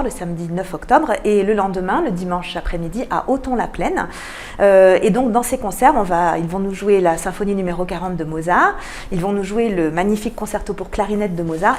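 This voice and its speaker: A woman speaks as a guest in a radio interview at a middling pitch. She explains things in an articulate way, with enthusiasm for what she presents. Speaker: guest, cultural programme manager.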